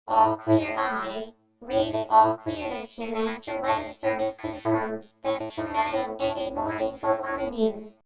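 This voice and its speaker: A woman's voice: on the high side, a little slow, vocal fry. A woman giving stilted, formal scripted announcements with synthesizer music behind her. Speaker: emergency alert announcer and news reader. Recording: very echoey, music-backed track.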